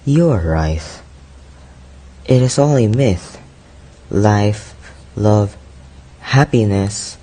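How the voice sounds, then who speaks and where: Radio drama romantic lead, played by a man: young brooding poet, mellow tone, lofty, melodramatic, slow delivery. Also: mid-range voice, big pitch swings, breathy edge, heavily acted. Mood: despairing.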